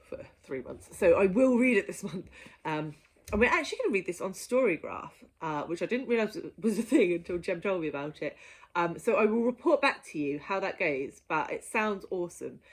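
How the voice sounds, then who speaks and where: Woman, lowish pitch, casual book-vlog delivery, playful and keen. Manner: playful, enthusiastic, given to giggling. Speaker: book vlogger presenting a reading list. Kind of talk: casual chatty monologue to viewers.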